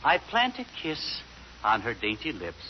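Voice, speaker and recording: A man's voice: medium pitch, wildly swooping pitch, unhurried, a little hiss or hum. A man on a 1940s radio comedy, telling a fairy tale earnestly as the straight man. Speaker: comedy host. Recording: dull lo-fi 1940s radio broadcast.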